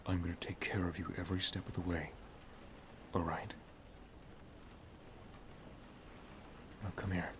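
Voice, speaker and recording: A man playing a solemn, protective wizard master and lover in an ASMR roleplay, speaking softly and gravely, often half-whispering. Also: deep voice, little pitch movement, a little fast, background noise, close to an everyday voice. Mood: tender, protective. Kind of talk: ASMR roleplay, dramatic monologue.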